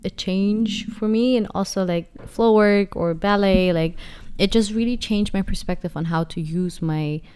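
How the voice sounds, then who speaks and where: A woman's voice: mid-range voice, unhurried, few pauses, darkish tone, smooth. A woman in her twenties talking casually in an interview, reflective and full of fillers as she searches for words. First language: not English. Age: 20s. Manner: reflective, many fillers and restarts. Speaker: dancer and guest.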